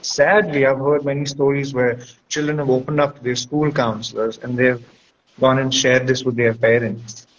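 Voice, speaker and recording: Dull noisy recording, higher-voiced man counselling. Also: flat delivery, moderate pace. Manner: reflective, explanatory, checks with "right?". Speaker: guest speaker, school counselor. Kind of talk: conversational advice in an interview. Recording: dull lo-fi audio with clear background noise.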